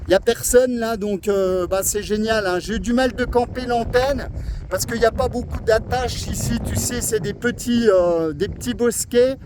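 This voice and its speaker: A man's voice: high-pitched, normal speed, background noise. A man in a casual radio chat, speaking as an amateur operator from outdoors. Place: outdoors on a seaside cliff.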